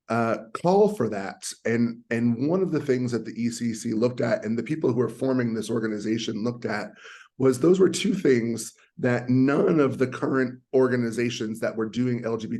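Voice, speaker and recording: A man speaking through a video call, his voice a little raspy from a long night. He explains things earnestly at a middling pitch, with frequent ums and restarts. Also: darkish tone. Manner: earnest, many fillers and restarts. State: slightly raspy voice. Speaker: guest, community center leader. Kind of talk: virtual panel conversation. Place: conference hotel.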